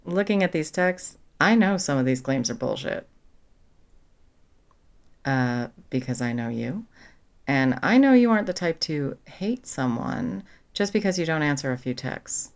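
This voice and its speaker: Girl doing a caring best friend for asmr roleplay, young woman, deep for a girl, gravelly fry, blunt but supportive, chatty. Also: expressive, measured pace. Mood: reassuring, matter-of-fact. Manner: casual, supportive, blunt, sweary. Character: caring, protective young woman best friend, pre-med psych student. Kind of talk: ASMR comfort roleplay, conversational.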